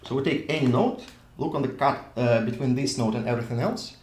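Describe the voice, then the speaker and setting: Russian-accented male lecturer, mid-pitched, halting with self-restarts, recorded in an echoey hall. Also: darkish tone. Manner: repetitive restarts, thinks aloud while drawing. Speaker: university lecturer. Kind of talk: classroom lecture at a whiteboard. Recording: echoey room, voice drifts off mic.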